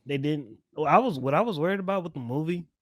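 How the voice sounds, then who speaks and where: A man's voice: on the high side, lively intonation, fast talker, dry recording, studio quality. A man co-hosting a podcast, chatting casually in slang and often saying bro. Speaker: podcast co-host. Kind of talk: casual movie discussion chat.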